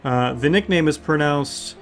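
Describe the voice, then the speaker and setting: Slow-talking American man streaming a game. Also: on the high side, soft background music. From United States. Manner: relaxed, laughs often. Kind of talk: casual gameplay stream chat.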